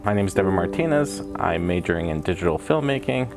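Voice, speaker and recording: A young man, a film student, speaking haltingly and repeating words as he answers interview questions. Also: low voice, expressive. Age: young adult. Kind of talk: documentary interview. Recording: music bed under the voice.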